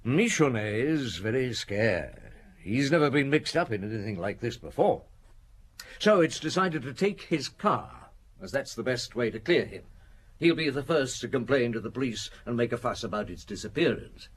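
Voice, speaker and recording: A relentless police chief inspector in a radio mystery. His husky voice swings widely in pitch as he lays out accusations. He is deliberate and probing, pausing for effect. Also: big pitch swings. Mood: accusing, methodical. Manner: deliberate, probing, with dramatic pauses. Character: shrewd, relentless male French police chief inspector summing up. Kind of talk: radio detective drama acting.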